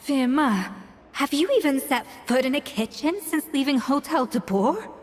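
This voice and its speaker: Exacting, high-voiced head chef. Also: female voice, lively intonation, normal speed, long reverb tail, a little hiss or hum. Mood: surprised, stern. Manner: critical, precise, poised. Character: exacting, haughty female head chef judging dishes. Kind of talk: video game voice acting.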